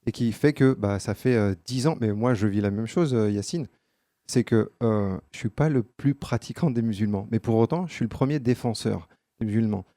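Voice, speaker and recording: A man with a Parisian accent in a political debate, speaking haltingly with many fillers. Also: lowish voice, mellow, no room sound. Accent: Parisian French. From France.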